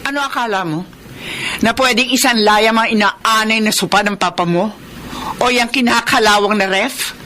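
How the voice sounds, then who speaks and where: An actress playing a proud, defensive middle-aged wife in a radio drama. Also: female voice, a little high, moderate pace, pushed and bright, slight background noise. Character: feisty, defensive older wife, indignant and proud. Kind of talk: Tagalog radio drama acting.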